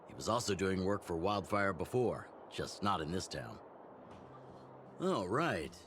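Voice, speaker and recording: A man voicing a genial middle-aged town chief and mentor in a game, relaxed and teasing in a fatherly way. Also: low voice, a little slow, slight background noise, heavily acted. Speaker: community chief.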